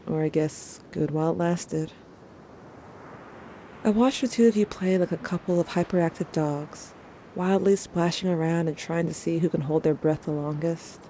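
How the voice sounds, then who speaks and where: A wistful, self-doubting teenager, acted by a young woman confides memories in a wry audio drama monologue. The voice is slightly low and soft-toned, very mellow. A faint rumble sits in the recording.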